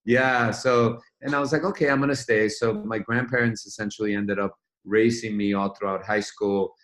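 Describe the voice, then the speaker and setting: A man's voice: fairly low pitch, steady tone, normal speed, a bit bright. A man from Venezuela, a guest in an interview, telling his story in a reflective, rambling way with frequent restarts. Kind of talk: casual interview conversation.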